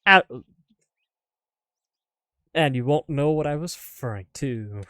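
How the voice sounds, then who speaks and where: A man's voice: fairly high pitch, expressive, slow pace, pushed and bright, smooth, no echo. A male streamer with a slightly raised voice, chatting loosely and joking at his own expense. He laughs often between his answers. His desk mic gives a dry, close sound with no room around it. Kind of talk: casual live-stream chat answering viewer questions.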